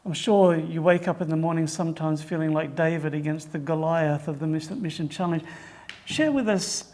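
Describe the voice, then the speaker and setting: A man's voice: on the high side, brisk, darkish tone. A man hosting a mission program, presenting and interviewing in an upbeat, articulate, polished manner. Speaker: TV program host.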